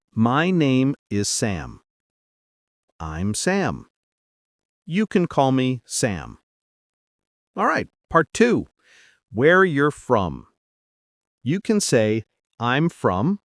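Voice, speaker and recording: Male podcast host teaching English: slow, clear, upbeat, lively pitch, dry close mic. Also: dry recording. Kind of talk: scripted English lesson.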